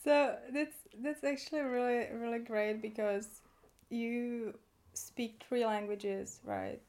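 Female host, slightly high voice, curious interviewer. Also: steady tone, slow, a little mellow. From Ukraine. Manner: curious, asks probing follow-up questions. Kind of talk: casual interview.

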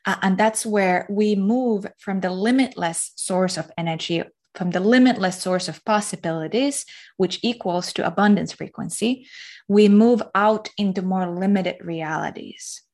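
A woman from Finland, speaking as an interview guest, reflective and pausing often to search for words. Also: medium pitch, darkish tone. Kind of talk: casual spiritual interview talk.

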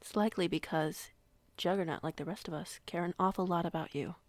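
A woman playing a composed, polite werewolf pack alpha in her late twenties in an audio roleplay, speaking in measured, formal phrases. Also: on the low side, steady tone, darkish tone, no room sound, lightly acted. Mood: kindly. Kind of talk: audio roleplay acting, conversation.

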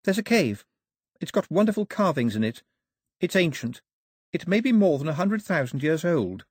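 A man's voice: a little high, big pitch swings, normal speed, slightly rough, dry recording, clean studio sound, pitched above the performer's own voice. A man reading aloud and voicing a headstrong, fiery young woman who speaks passionately and defiantly.

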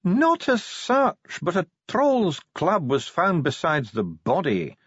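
A man's voice: high-pitched, huge pitch swings, slow pace, a bit bright, slightly rough, no echo, muffled recording, pitched above the performer's own voice, full character voice. A man voicing a haughty dwarf official in an audiobook, pedantic and condescending, with cool, needling venom. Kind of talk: audiobook character acting.

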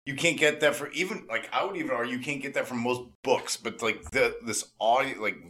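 A man with a bright, punchy voice at a middle pitch talks in excited, casual bursts. He gushes like a devoted fan and repeats his points for emphasis. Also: fairly quick. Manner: gushing, emphatic, repeats himself. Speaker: podcast interviewer and excited fan.

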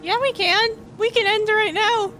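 A woman's voice: very high voice, steady tone, measured pace, pushed and bright, heavily acted. A woman voicing an unhinged, foul-mouthed young princess who threatens the others, in a comedy game fan dub with game music behind. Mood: threatening.

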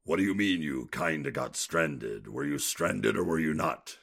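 A gruff, world-weary man, played by an actor for an audio drama. His voice is extremely deep and full of gravel, and it swings freely as he needles people with blunt, exasperated sarcasm. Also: lively intonation, unhurried, fairly bright tone, exaggerated voice. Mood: dry, impatient. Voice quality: gravelly, raspy.

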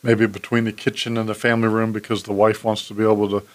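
A man's voice: fairly low pitch, level tone, fast talker, no echo. A man who works as a contractor, co-hosting a home-improvement radio show in easygoing, plain-spoken chat.

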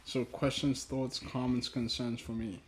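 A man's voice: mid-range voice, level tone, poor audio. A man from Ghana whose mother tongue is Twi, hosting a casual, reflective podcast conversation in a hesitant, thoughtful manner. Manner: hesitant, thoughtful, trailing pauses. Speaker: podcast host.

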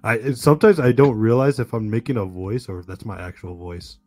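Raspy, low-voiced male podcast host. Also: brisk, soft background music. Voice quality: raspy, like a smoker. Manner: monotone, joking, says "dude" a lot. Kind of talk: casual chat.

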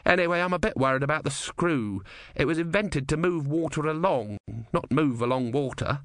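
A man voicing a patient, matter-of-fact engineer in an audiobook, deadpan and mildly fretful. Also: fairly high pitch, moderate pace, no room sound, clean studio sound, pitched above the performer's own voice, lightly acted. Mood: mildly worried.

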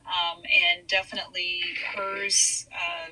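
A middle-aged woman from Hawaii, a podcast guest, speaking softly and haltingly with many fillers in a casual interview. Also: on the low side, little pitch movement, poor audio.

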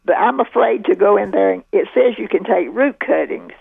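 An older woman calling a radio garden show, chatting gently and folksily, with a soft laugh. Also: mid-range voice, expressive, normal speed, gravelly, slightly rough, no echo. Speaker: caller. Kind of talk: phone-in chat. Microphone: telephone. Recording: tinny phone line.

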